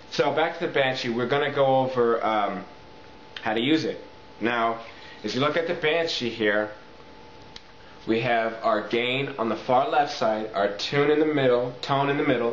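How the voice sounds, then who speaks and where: A man talks through an informal tutorial in a roomy, echoing space. His audio is slightly dull and lo-fi with faint noise underneath. He explains things step by step in a halting, casual way at a medium pitch. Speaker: talk box tutorial presenter. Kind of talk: casual instructional video talk. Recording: echoey room, muffled lo-fi audio, faint noise.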